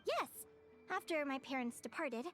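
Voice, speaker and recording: High-pitched, earnest changeling girl. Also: normal speed, over music. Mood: earnest storytelling. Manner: expressive, storytelling, candid. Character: earnest, upbeat fae changeling girl with head wings. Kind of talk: video game character acting.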